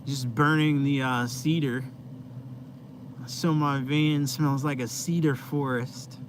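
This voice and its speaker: A man's voice: medium pitch, steady tone, takes it slowly. A young man hosting a casual live stream from inside his van, chatting with viewers in a laid-back, rambling way with lots of fillers. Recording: noisy vehicle ambience.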